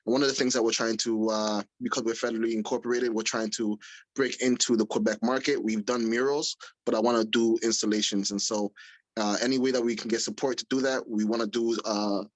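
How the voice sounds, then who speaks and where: A man from Canada speaks in a medium pitch, pitching his organization informally on a video call. His delivery is halting, and the sound is dry and close. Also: steady tone, no room sound, rough recording. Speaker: meeting participant, arts organization director. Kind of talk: informal pitch at an online meeting.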